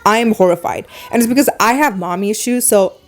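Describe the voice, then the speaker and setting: A woman with a foreign accent hosting a podcast, talking through her opinions in casual chat, animated and fast in bursts. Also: mid-range voice, faint music behind. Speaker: podcast host.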